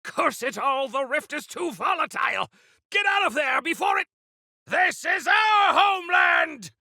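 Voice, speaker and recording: An actor playing a grandiose, eccentric exiled miner rebel in a video game, speaking in florid, archaic, theatrical phrases. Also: male voice, very high-pitched, expressive, unhurried, sharp tone, no echo, raised voice, studio quality, some chatter behind, heavily acted. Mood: furious, defiant.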